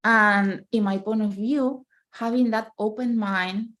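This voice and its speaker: A woman's voice: medium pitch, steady tone, takes it slowly, fairly bright tone. A woman in her thirties from Argentina, speaking English with an Argentine Spanish accent as a second language, earnestly giving career advice in a casual chat and often checking in with "right?". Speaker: software engineer guest.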